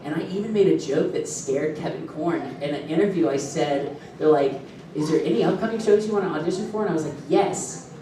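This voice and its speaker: A man chatting at a convention panel and telling animated stories between bursts of laughter. He sounds far from the mic in a very echoey room, with steady hum behind him. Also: fairly high pitch, fairly quick. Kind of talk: casual panel talk.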